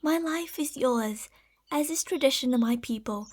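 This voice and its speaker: An obsessive lamia beast-woman, played by a woman, speaks in a high, soft voice. Her phrasing is formal and soothing, dipping into whispers in intimate ASMR roleplay style. Beneath the tenderness she is possessive and deceitful. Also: moderate pace. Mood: devoted, pleading.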